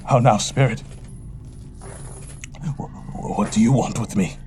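A man's voice: on the low side, takes it slowly, music in the background. A man playing a miserly, grumpy old businessman in an audio drama, speaking curtly and scornfully. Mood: alarmed, defiant. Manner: curt, scornful, exclamatory.

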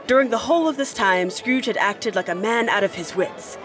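An actress playing the storyteller narrator of a Victorian Christmas tale in scripted audio play style. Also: female voice, on the high side, fairly quick, music in the background, close to an everyday voice. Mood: storytelling.

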